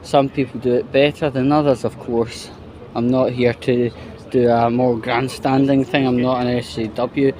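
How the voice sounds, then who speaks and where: A man giving casual opinion commentary in a halting, rambling, disapproving way. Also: mid-range voice, level tone, darkish tone, a little hiss or hum. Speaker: commentary YouTuber.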